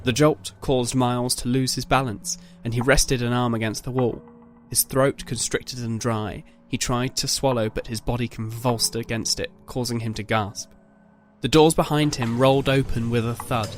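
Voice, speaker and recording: A man narrates a scripted dramatic story in a measured, literary manner. His voice sits at a medium pitch, with soft music running behind it. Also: steady tone.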